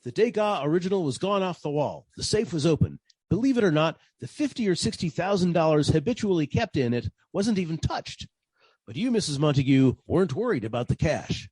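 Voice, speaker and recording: Male actor as hard-boiled detective, wry, sardonic, husky, lively intonation, dry sound, 1940s radio style. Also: fairly high pitch, a little fast, no room sound, poor audio. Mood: wry narration.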